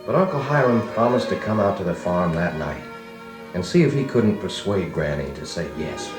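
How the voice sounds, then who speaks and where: An actor playing a grown man recalling his farm boyhood, narrating a children's record drama in an unhurried, expressive way. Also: deep voice. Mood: reflective storytelling. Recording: vintage 45 rpm record, music behind, roomy.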